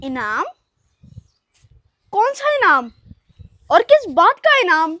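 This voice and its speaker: A performer playing a cheeky, quick-witted young boy, playful and bold, in an acted moral-story skit. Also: very high-pitched, wildly swooping pitch, sharp tone, no room sound, heavily acted. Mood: curious, wary.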